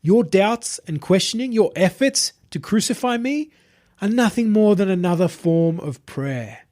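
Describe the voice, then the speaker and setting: A male narrator plays a possessed online idol who believes himself a messiah. The voice is lifted high and husky, with a composed, preaching delivery. He speaks soothingly and grandly to his followers, in the manner of an audio short story villain. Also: lively intonation, measured pace, a little mellow. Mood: serene, smug.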